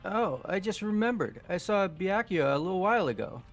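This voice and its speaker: A man's voice: high voice, animated, a little fast, soft background music. A man voicing a casual, slightly nervous young male character in a video game, with hesitant, plain-spoken delivery. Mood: uneasy, casual. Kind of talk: amateur voiced video game playthrough.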